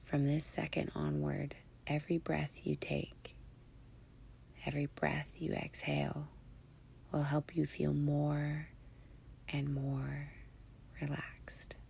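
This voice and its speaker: A woman leading a guided meditation in a breathy, near-whispered voice, soothing and hushed, with long pauses between phrases. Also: low-pitched, completely flat delivery, a little hiss or hum.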